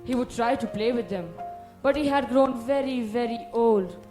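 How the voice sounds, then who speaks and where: Casting: young stage narrator, scripted storytelling, medium pitch, light music bed. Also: normal speed. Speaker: narrator of a school play.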